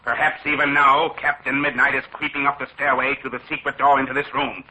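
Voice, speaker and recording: A man's voice: mid-range voice, flat delivery, sharp tone, slightly rough, highs cut off. An actor playing a cold, formal, scheming male villain leader in a 1940s radio drama. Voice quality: measured, formal, menacing.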